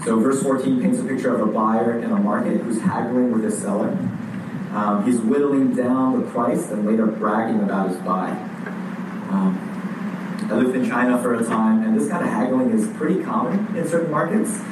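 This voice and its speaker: A man preaching a sermon in a conversational way, often pausing with fillers and restarting his sentences. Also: medium pitch. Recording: echoey room heard from a distance with background noise.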